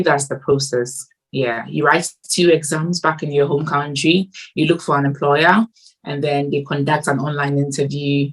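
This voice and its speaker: A young woman from Ghana, a nurse, explaining things in a measured way with frequent ums as an interview guest. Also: low-pitched, poor audio. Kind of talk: interview answers.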